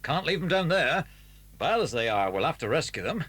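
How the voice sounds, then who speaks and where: Animated, genial English police inspector. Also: male voice, fairly high pitch, a little fast, breathy edge, heavily acted. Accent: British. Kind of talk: British children's audio drama acting.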